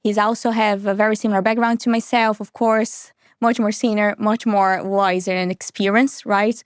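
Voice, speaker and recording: Lively woman. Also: mid-range voice, moderate pace, smooth, dry recording, studio quality. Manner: animated, laughs often, tag questions. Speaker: podcast guest, recruiter. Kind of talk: casual interview chat.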